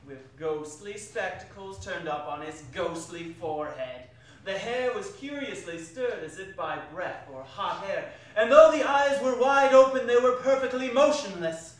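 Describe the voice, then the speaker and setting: A distant, very echoey recording of a man reading a classic tale aloud as a stage narrator. His voice is notably high, with faint noise around it. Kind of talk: theatrical dramatic reading of a classic story.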